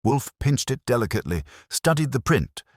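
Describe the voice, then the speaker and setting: A man's voice: medium pitch, sing-song, normal speed, no echo, clean studio sound. A man narrating a scripted detective story in a dramatic, wry first-person manner. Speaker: narrator and host of a mystery story channel.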